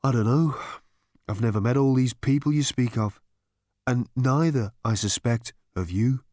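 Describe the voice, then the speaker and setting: A man voicing a gentle, vague philosophical hermit in a storybook, speaking politely in a mild, detached, musing way. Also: medium pitch, normal speed, no echo, clean studio sound, natural voice. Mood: calm, philosophical.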